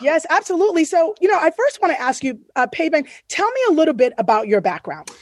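A woman's voice: high-pitched, big pitch swings, quick pace, dry recording, clean studio sound. A woman in her thirties co-hosting a podcast interview, earnest and effusive as she welcomes her guests. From Boston area, US. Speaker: podcast co-host.

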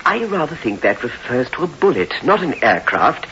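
An actor playing a weary, pious older vicar, speaking haltingly with lofty wording in a sixties radio drama. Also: male voice, mid-range voice, sing-song, bright, forceful tone. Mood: genteel, musing. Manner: hesitant, faltering, lofty wording. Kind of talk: 1960s radio drama acting. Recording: dull, hissy old radio recording.

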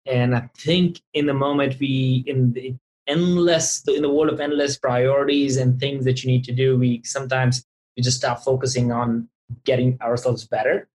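Podcast host, mid-range pitch, earnest and encouraging, conversational recap delivery with repeated phrases for emphasis. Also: little pitch movement, normal speed, darkish tone, poor audio. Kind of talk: conversational interview, reflective recap.

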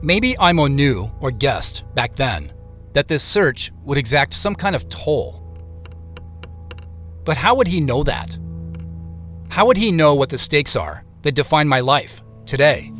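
Audio drama lead, male actor: introspective, determined male sleuth narrating deliberately, slightly raised pitch with very varied intonation. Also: expressive, fairly bright tone, faint music behind. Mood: pondering. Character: inquisitive male amateur investigator, reflective and determined. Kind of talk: first-person scripted audio drama narration.

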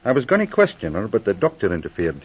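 Dutiful male officer, lowish husky voice, formal and respectful, muffled old radio serial. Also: wildly swooping pitch, fast talker, heavily acted. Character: deferential, dutiful policeman reporting to superiors. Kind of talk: 1940s radio drama acting.

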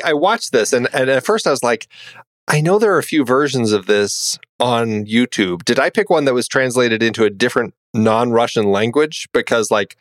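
A man's voice: medium pitch, dry recording, clean studio sound. A man co-hosting a podcast in casual chat, enthusiastic and rambling with many fillers. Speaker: podcast co-host.

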